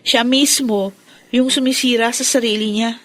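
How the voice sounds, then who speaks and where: An actress playing a concerned, saddened young daughter in a Filipino radio drama. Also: female voice, on the high side, steady tone, moderate pace, dry recording, lightly acted. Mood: worried, sad.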